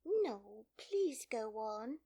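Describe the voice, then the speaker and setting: A woman voicing a polite, curious young girl in a storybook, earnest and questioning, quick to take offence. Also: fairly high pitch, very animated, darkish tone, voice pushed up, heavily acted. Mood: humble, pleading.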